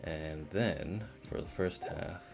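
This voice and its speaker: A man's voice: very deep voice, slow pace, vocal fry. A man chatting casually on a game stream in a slangy, rambling, profane way. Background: faint game music.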